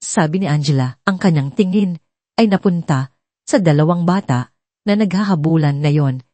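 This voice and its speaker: A woman reads a dramatic audio story as its narrator. Her voice is on the low side and somewhat mellow, recorded dry and close. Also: normal speed, dry recording.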